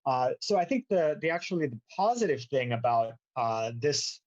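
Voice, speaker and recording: A man's voice: mid-range voice, sing-song, normal speed, darkish tone. Male lecturer, dull lo-fi sound. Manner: wry, sarcastic asides. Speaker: university lecturer. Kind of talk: informal academic lecture. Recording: dull lo-fi audio.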